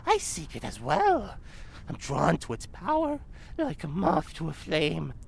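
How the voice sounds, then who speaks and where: A woman voicing a creepy, ravenous old demon woman in an audio drama, with ominous, theatrical, archaic phrasing and a gravelly creak. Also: sits low, wildly swooping pitch, a little slow, slight background noise, heavily acted. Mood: ominous, hungry.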